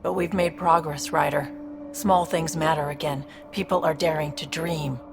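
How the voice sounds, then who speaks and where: A woman playing a tough, streetwise alien smuggler with a soft spot in a video game, frank and wry, with a gravelly voice. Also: steady tone, moderate pace, music in the background. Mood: wry, reflective. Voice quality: low, gravelly.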